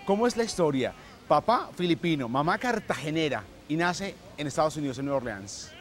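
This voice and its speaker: A man hosting a TV interview outdoors in a courtyard, giving rapid, upbeat introductions and short questions on a lapel mic. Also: a little high, expressive. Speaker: interview host. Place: outdoor courtyard with passing people and planes.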